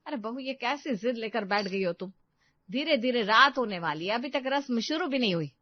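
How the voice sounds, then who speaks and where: A woman's voice: on the high side, expressive, moderate pace, no echo, dull audio. A woman voicing a middle-aged mother-in-law in a cartoon story, mildly chiding but indulgent. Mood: fretful. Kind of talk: cartoon moral-story dialogue.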